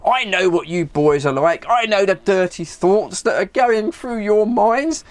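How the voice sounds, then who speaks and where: A man's voice: sits high, expressive, unhurried, no room sound, pitched above the performer's own voice. Man putting on a stern, suspicious scolding mother. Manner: scolding, flustered, indignant. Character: stern suspicious British mother of a teenage daughter.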